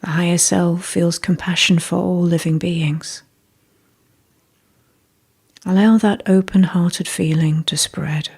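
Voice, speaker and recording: A woman leading a scripted guided meditation in a gentle, measured, soothing way, with a soft, breathy voice. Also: lowish voice, fairly even intonation.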